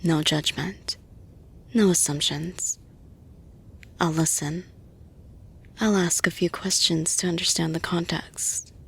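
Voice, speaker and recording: Woman playing a caring calm lady boss, audio roleplay, really breathy n husky, slow deliberate reassuring talk. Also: fairly low pitch, exaggerated voice. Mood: calm, businesslike. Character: caring, composed female boss gently offering support. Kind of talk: intimate roleplay, soft-spoken and measured.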